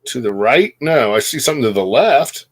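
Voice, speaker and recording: A man's voice: mid-range voice, expressive, moderate pace. A man with an average mid-range voice sits in on a casual live stream, loose and easygoing. He downplays himself and chats like an old friend. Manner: easygoing, self-deprecating. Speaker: co-host.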